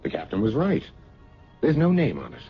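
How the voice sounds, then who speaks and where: An actor playing a wary, reluctant husband in a radio drama, hesitant and skeptical. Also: male voice, medium pitch, huge pitch swings, normal speed. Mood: mild, observant. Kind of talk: radio drama acting with story narration. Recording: dull old radio recording, music behind.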